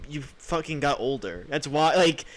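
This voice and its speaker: A man's voice: on the high side, expressive, moderate pace, rough recording. A young American man co-hosting a podcast, chatting casually in a blunt, opinionated, sweary way. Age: young adult. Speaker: podcast co-host. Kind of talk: casual chat.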